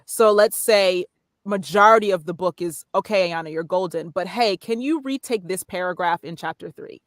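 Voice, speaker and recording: A woman's voice: fairly low pitch, moderate pace, no echo. Woman guest on a podcast, talky and upbeat, dry close sound. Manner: chatty, explains with acted-out examples. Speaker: guest, voiceover artist. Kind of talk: casual interview talk.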